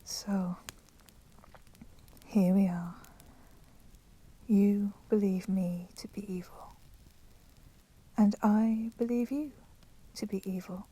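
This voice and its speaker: Girl doing a vampire lady for asmr roleplay, soft breathy whispers, really slow, super polite but kinda menacing. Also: mid-range voice, fairly even intonation. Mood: coolly polite. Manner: slow, deliberate, formally courteous. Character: polite, menacing female vampire, proud and merciful.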